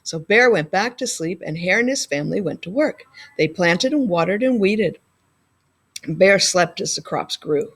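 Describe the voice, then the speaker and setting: A woman's voice: on the low side, lively intonation, moderate pace, a bit bright, husky. A woman narrating a children's picture book expressively, as a school librarian reading aloud.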